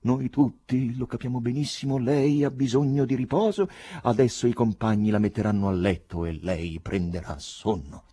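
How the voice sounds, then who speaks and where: A man's voice: mid-range voice, fairly even intonation, moderate pace, mellow, breathy edge, pitched above the performer's own voice, natural voice. A man voicing an affable, well-fed comrade in an audiobook story, placating in a pompous, oratorical manner. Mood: soothing, patronizing.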